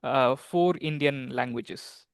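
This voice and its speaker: A man's voice: medium pitch, slow pace, vocal fry, clean tone, no echo, studio quality. A man interviewing a former trainer, hesitant, with repeated words and restarts. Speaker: interviewer, English teacher. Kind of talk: interview questions.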